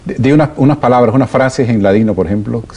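A man hosts a television interview with a warm, mellow voice at a middle pitch. He steers the conversation with leading questions and neat summaries of what his guests say. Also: quick pace. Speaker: TV host.

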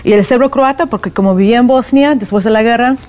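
A woman's voice: a little high, quick pace, darkish tone. A woman born in the Soviet Union and raised in California, with Russian as her first language, explaining things as a guest in a TV interview with frequent "eh" fillers.

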